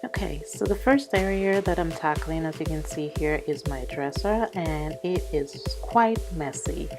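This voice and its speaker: Low-pitched, slightly hoarse woman vlogger. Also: a little slow. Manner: conversational, explanatory. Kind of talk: casual cleaning vlog narration. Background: background music.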